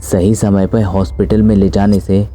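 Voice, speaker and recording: A man's voice: fairly low pitch, little pitch movement, moderate pace, mellow. A man narrating a scripted horror story.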